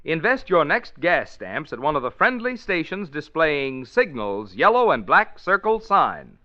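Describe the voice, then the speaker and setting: A male radio announcer with a highly animated, slightly high voice. He sells with emphatic, folksy patter in the style of a forties commercial, on a muffled old recording. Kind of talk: 1940s radio advertising read.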